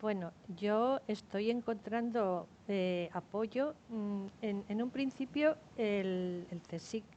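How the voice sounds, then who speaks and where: A woman's voice: medium pitch, dark tone, a little hiss or hum. Woman panelist, slightly dull sound. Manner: halting, with fillers. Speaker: entrepreneur panelist. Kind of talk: panel discussion.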